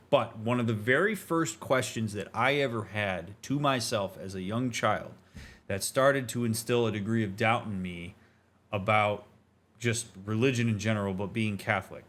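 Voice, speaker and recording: A man's voice: fairly low pitch, fairly bright tone. A man streaming a game, giving reflective commentary in an earnest, emphatic, explanatory and conversational manner. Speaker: streamer, therapist host.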